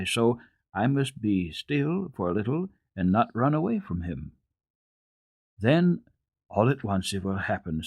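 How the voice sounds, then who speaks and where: Man reading as an earnest little girl, husky voice. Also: lowish voice, a little mellow, no echo, studio quality. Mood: earnest, assured.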